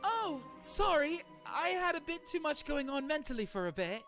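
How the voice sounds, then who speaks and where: A woman voicing a confused, awkward gentleman with a British accent in an animated comedy mystery. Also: high-pitched, normal speed, faint music behind. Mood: sheepish, confused. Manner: blunt, awkward, easily flustered.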